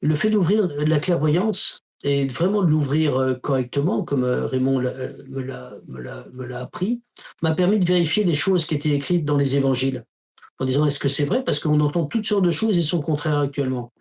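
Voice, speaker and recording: A man's voice: a little high, moderate pace, a little mellow. A man giving an interview as a spiritual teacher, talking casually and telling stories with emphatic asides. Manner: storytelling, acts out quoted dialogue with emphatic asides; uses informal tu to the listener.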